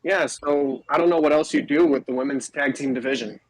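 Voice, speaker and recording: A man's voice: a little high, a little fast, rough recording. A man co-hosting a podcast, giving a casual recap in conversation. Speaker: podcast co-host. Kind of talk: casual wrestling recap chat.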